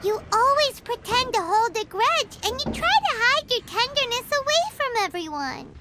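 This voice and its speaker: A small floating fairy girl for a video game. The voice is extremely high, squeaky and childlike, swinging widely in pitch. She is cheerful and chatty, with an earnest manner. Loud noise with clicks sits behind it. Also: moderate pace. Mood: caring. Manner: chirpy, earnest, expressive. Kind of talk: video game cutscene voice acting.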